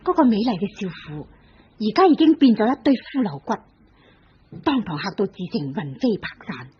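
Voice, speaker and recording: A woman's voice: mid-range voice, sing-song, moderate pace, slightly rough. A woman with a Hong Kong Cantonese accent, narrating a vintage radio drama with vivid storytelling emphasis. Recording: lo-fi old radio recording with music.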